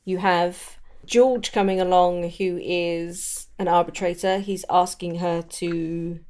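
A woman speaks in a slightly low register. She talks casually, as a co-host in an informal discussion about fiction. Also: steady tone, takes it slowly, a little mellow. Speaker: podcast co-host.

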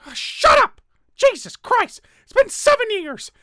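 A woman's voice: very high-pitched, very animated, a little slow, pushed and bright, no echo, clean studio sound, heavily acted. A woman voicing a small, bitter, foul-mouthed talking flower, numb and angry, in a fan roleplay drama. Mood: furious. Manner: sarcastic, cursing, stammering when cornered.

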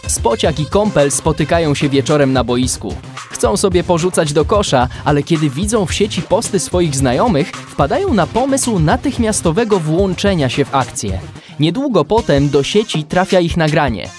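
A man's voice: a little high, expressive, moderate pace, faint music behind, close to an everyday voice. A man narrating a children's adventure in a scripted audio drama. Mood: storytelling. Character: storyteller of a kids' adventure.

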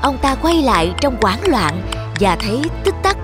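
A woman's voice: on the high side, big pitch swings, moderate pace, music in the background. A woman reading a scripted fairy tale for children as the narrator.

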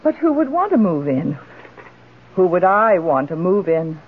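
An actress playing a genteel, well-to-do middle-aged widow in a radio drama, gracious and expressive. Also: female voice, lowish voice, unhurried, slight background noise. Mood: questioning, wistful. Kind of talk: old-time radio drama acting. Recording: muffled, band-limited old radio broadcast.

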